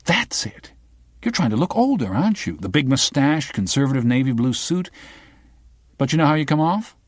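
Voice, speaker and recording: A man reading aloud and voicing a sharp-tongued young woman reporter who fires pointed, needling questions. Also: mid-range voice, expressive, moderate pace, no room sound, studio quality, voice pushed up. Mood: probing.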